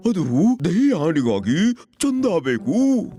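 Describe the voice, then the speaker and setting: A blustering cartoon fellow, with a high-set voice that swings wildly up and down. The delivery is slow, theatrical and drawn-out, over clearly audible music. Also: male voice, very animated, mellow, full character voice. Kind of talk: exaggerated children's cartoon dubbing. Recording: music behind the voice.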